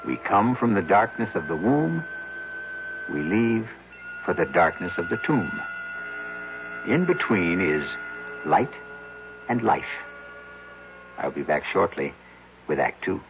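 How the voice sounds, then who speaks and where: A man hosting a radio mystery series, narrating in a solemn, measured, theatrical way. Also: deep voice, sing-song, noisy background. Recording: tinny old radio broadcast, loud music behind.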